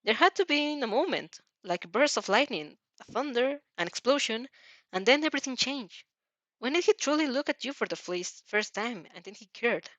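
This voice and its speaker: A woman reading a story aloud as narrator, dramatic and a little halting, stumbling over some words. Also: a little high, animated, fairly bright tone, no echo, dull audio. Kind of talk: expressive fan fiction read-aloud.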